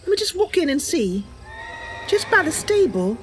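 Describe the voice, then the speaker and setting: A woman voicing an earnest, naive, excitable young female wood elf adventurer in a game, girlish and wide-eyed. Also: high voice, normal speed, a little hiss or hum, voice pushed up. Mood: musing, curious. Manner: girlish, wide-eyed, stumbles over big words.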